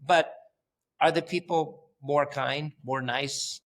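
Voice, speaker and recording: Blunt, animated male founder who swears casually. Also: fairly high pitch, takes it slowly. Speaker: company founder, guest. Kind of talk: casual interview.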